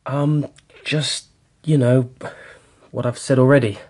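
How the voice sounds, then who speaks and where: A man's voice: medium pitch, steady tone, slow pace, a little mellow. A man playing a hesitant, unsure young junior policeman in a radio drama. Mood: flustered.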